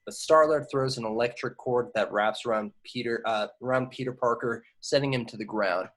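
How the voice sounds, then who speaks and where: A man with a medium-pitched voice narrates screenplay action. It is an amateur read-through, with stage directions read aloud from a script. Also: normal speed, fairly bright tone.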